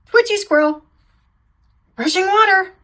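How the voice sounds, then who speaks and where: A woman voicing an ordinary, self-deprecating, fretful sheep in a children's storybook, with a wry, drawn-out delivery. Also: extremely high pitch, pushed and bright, voice pushed up, heavily acted. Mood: anxious, wry.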